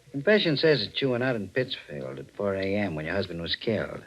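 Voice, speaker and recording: An actor playing a stern, shrewd district attorney with measured, accusing delivery in 1940s radio style. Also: male voice, on the low side, big pitch swings, a bit bright. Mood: probing.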